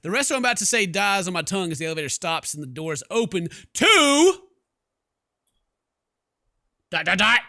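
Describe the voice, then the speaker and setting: A man hosts a gaming video in a bright, high voice that swings widely. He is playful and theatrical, mixing exclamations with read-aloud game text. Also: big pitch swings, moderate pace, vocal fry, pauses often, no room sound, clean studio sound. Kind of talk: animated let's play commentary, reading game text aloud. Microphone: close microphone at a desk. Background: faint game music.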